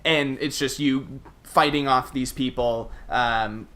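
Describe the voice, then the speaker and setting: A man's voice: mid-range voice, steady tone, slow, pushed and bright. A man co-hosting a casual gaming podcast, talking informally and peppering his explanations with filler words like "like". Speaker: podcast co-host.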